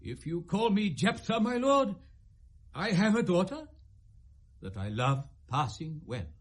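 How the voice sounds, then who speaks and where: An actor playing a pompous, fussy, long-winded old courtier in a classical radio drama, declaiming theatrically. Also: male voice, high-pitched, very animated, a little slow, heavily acted. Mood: fussy, puzzled.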